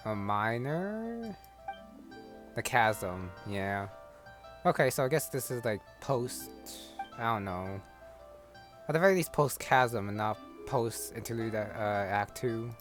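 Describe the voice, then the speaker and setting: A man's voice: on the low side, expressive, takes it slowly, soft background music. A man giving casual let's-play commentary, muttering wry asides to himself. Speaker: gaming channel host.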